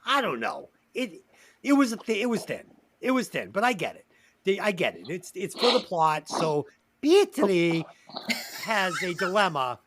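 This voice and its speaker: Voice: mid-range voice, very animated, moderate pace, fairly bright tone, a little hiss or hum. A podcast co-host in casual comedy movie-review chat, reading out plot summaries and swearing casually.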